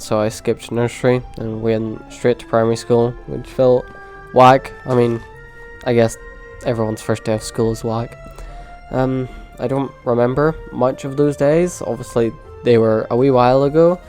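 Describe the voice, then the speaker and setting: A young man with a Northern Irish accent talks through his life in a relaxed, mellow tone, trading in dry, self-deprecating asides. Faint music plays softly behind him. His voice sits at an ordinary middle pitch. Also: normal speed. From Poland. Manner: dry, self-deprecating, low-key delivery. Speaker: vlogger. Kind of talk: casual storytelling monologue.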